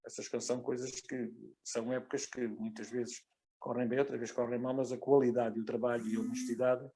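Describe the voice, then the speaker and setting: A man with a European Portuguese accent giving his view as a football guest, halting and repeating words as he argues. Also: mid-range voice, flat delivery, dark tone, breathy edge, rough recording. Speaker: football guest, former player. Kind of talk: casual panel discussion.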